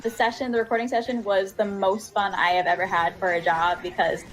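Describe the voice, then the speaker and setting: A woman's voice: mid-range voice, level tone, fairly quick, fairly bright tone, rough recording. A woman voice actor talking casually as an interview guest, often giggling as she speaks.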